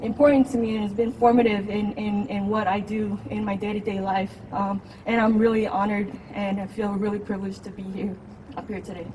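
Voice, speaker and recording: A woman from Los Angeles, an adjunct faculty member, introducing herself on a panel earnestly, her phrases trailing off softly at the ends. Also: mid-range voice, little pitch movement, fairly quick, background noise. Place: outdoor campus courtyard.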